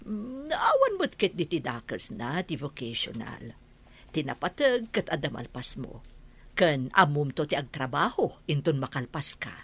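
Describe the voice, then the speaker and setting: Kindly aunt: woman, low husky voice, coaxing, sympathetic, radio drama. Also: sing-song, measured pace, fairly bright tone, heavily acted. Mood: consoling. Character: kindly, practical older aunt who consoles.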